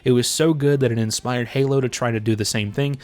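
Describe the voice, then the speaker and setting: A man's voice: mid-range voice, steady tone, fairly quick, a little mellow. A man giving casual, opinionated video commentary in a blunt, sarcastic, heavily profane way. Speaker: video essay host.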